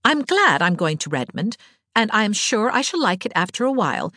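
A woman's voice: mid-range voice, very animated, brisk, bright, forceful tone, dry recording, studio quality, pitched above the performer's own voice. A woman voicing a cheerful, fanciful eighteen-year-old girl in a storybook, talkative, wry and whimsical. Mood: wistful. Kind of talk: audiobook character dialogue.

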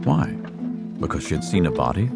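Very deep male western narrator. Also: sing-song, gravelly, loud music behind the voice. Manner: measured storytelling. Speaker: audiobook narrator. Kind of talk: scripted dramatic narration of a western.

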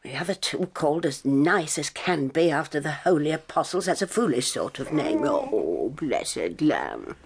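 A woman playing a stern but soft-hearted English children's nanny in an audio drama, firm, prim and emphatic. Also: low-pitched, sing-song, a little slow, gravelly, hardly any pauses, a crowd in the background, full character voice. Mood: grumbling, softening. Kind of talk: full-cast radio dramatisation.

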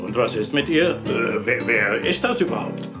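A man's voice: medium pitch, animated, normal speed, over music, natural voice. An actor playing a shifty, dismissive male nightclub owner in a German audio drama, evasive and mockingly polite.

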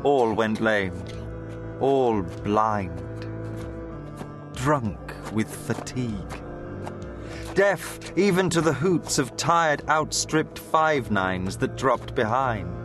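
An actor playing a haunted soldier poet of the First World War, reciting dramatically with deliberate intensity. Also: male voice, medium pitch, expressive, music in the background. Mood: weary, grim.

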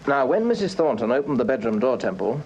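An actor playing a brisk, businesslike police inspector in a radio crime drama, with a British accent and methodical, matter-of-fact delivery. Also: male voice, mid-range voice, sing-song, a little mellow, highs cut off, a little hiss or hum, close to an everyday voice. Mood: factual, methodical. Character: brisk, businesslike male police inspector, deferential to his chief. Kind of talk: full-cast radio crime drama acting.

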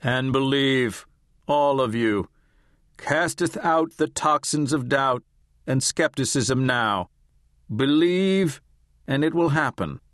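A man voicing a woman medium who channels a solemn ancient spirit, speaking in archaic, drawn-out pronouncements in an audiobook. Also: medium pitch, animated, fairly bright tone, dry recording, clean studio sound, voice pushed up. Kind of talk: audiobook character voice.

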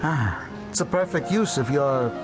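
A man's voice: medium pitch, expressive, a little slow, natural voice. A man playing a flustered, sleazy B-movie producer in a radio play, stammering through lots of ums and uhs. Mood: wheedling. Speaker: film producer. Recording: radio play with music behind.

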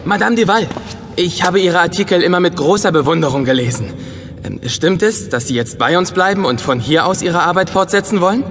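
Reverberant, eager bookish robot. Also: male voice, sits high, fairly quick, a little hiss or hum. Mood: excited admiration. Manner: excitable, earnest, polite. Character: eager, bookish, chronically curious male robot admirer. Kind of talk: German science fiction audio drama acting. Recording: long reverberant hall sound.

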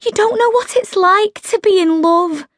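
A woman voicing a heartbroken, dreamy young woman in an audiobook, plaintive and breathy. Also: very high-pitched, normal speed, dry recording, clean studio sound, pitched above the performer's own voice. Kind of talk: audiobook character voice.

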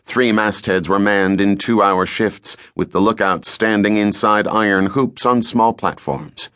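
A man with a fairly low voice reads a novel as a professional audiobook narrator. His delivery is scripted, measured and deliberate, with a dramatic storytelling feel. The sound is very dry, with no room around it. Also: a bit bright, smooth, no echo.

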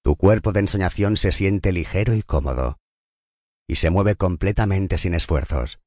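A man narrating a scripted guided meditation in a calm, soothing and unhurried manner. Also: deep voice, no room sound, clean studio sound.

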